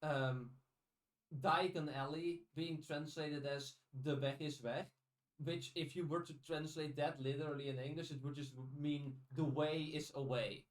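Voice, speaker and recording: A man's voice: a little high, steady tone, a little slow. A man with a Dutch accent chatting casually as a podcast host, often restarting his sentences in a rambling way. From Netherlands. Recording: echoey room, distant from the mic.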